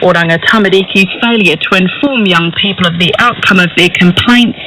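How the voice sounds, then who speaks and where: A woman's voice: on the low side, a little fast, noisy background. A woman with a New Zealand accent presenting a current affairs programme on radio.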